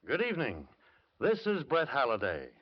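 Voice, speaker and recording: A man hosting an old-time radio mystery show, giving a measured, formal introduction. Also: mid-range voice, wildly swooping pitch. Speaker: radio show host and narrator. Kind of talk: 1940s radio announcing. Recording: dull lo-fi old radio recording.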